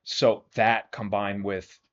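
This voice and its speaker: A male podcast host with a low voice runs a casual interview. He keeps the talk moving with quick affirmations and follow-up questions. The sound is dull and lo-fi. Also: level tone. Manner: affirming, frequent short backchannels.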